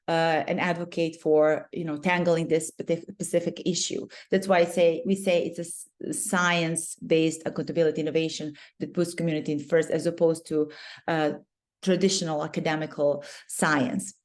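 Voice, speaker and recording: A woman with a Serbian accent giving a webinar presentation over a video call, unhurried and often pausing mid-sentence to find her words. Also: sits low, little pitch movement, darkish tone.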